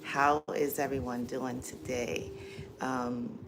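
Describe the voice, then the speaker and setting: Woman live streaming from home, real low voice, stuffy nose, slow and full of ums, noisy audio. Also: little pitch movement. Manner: slow, many ums, warm greetings to viewers. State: stuffy from allergies, dry throat. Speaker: livestream host and coach. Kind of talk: casual live chat.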